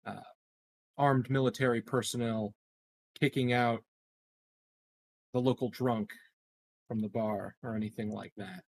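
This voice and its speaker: A man playing a measured corporate representative in a tabletop roleplay, formal and halting as he reassures. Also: medium pitch, fairly even intonation, gravelly, no room sound. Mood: measured, reassuring. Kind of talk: in-character improvised tabletop roleplay.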